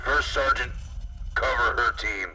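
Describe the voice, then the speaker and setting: Sharp, forceful military commander played by a man. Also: mid-range voice, a little slow. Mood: firm command. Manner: clipped, authoritative. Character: stern male military commander, resolute and demanding. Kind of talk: military sci-fi audio drama acting.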